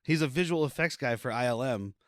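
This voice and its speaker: A man's voice: mid-range voice, fairly quick, no room sound, studio quality. A man hosting a casual movie podcast, running through film credits with jokes and laughs. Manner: reads off film credits with quips. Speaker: podcast host.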